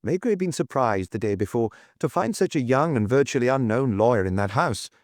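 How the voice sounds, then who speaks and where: A male narrator reads detective fiction from a script. His voice sits in a middle pitch, recorded very dry with hardly any room around it. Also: animated, brisk, dry recording. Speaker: audiobook narrator.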